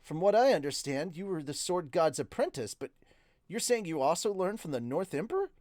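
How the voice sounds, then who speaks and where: Storybook hero: self-doubting young male mage, voiced by a man, hesitant, dramatic, raised lively pitch, dry acoustic. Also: brisk, dry recording. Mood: surprised, probing. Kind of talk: first-person novel reading.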